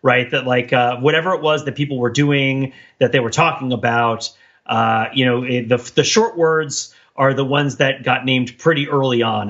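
Bright, slightly husky man, podcast co-host. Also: mid-range voice, lo-fi sound. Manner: discursive, professorial, explaining at length. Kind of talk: casual analytical podcast chat.

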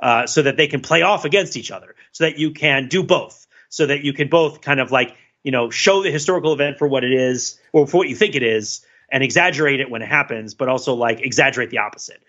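Bright-toned man thinking aloud. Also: mid-range voice, quick pace, few pauses. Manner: thinks aloud with many fillers and restarts. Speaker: podcast co-host. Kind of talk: casual analytical discussion. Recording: dull lo-fi podcast audio.

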